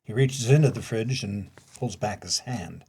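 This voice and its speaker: A man's voice: on the low side, unhurried, darkish tone, a little hiss or hum. A man reading stage directions as the scripted narrator of an audio play.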